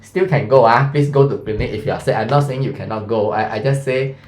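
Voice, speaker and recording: A man's voice: medium pitch, brisk, darkish tone, roomy sound. A man in his thirties with a Malaysian English accent, a science teacher chatting through a revision lesson and quizzing his students. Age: 30s. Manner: chatty, asks students questions, fillers like lah and mah.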